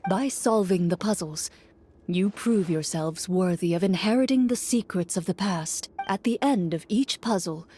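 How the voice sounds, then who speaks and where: An actress playing a composed, serious hunter who narrates a tabletop game formally in a video game. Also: female voice, on the low side, moderate pace, warm tone, soft background music, close to an everyday voice. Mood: solemn. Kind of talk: video game acting, solemn scripted narration.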